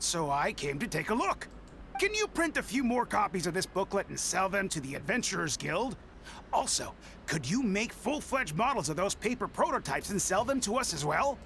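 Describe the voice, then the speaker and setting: A bright female guild official with some creak in the voice. She is brisk, earnest and businesslike, explaining things articulately. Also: mid-range voice, slight background noise.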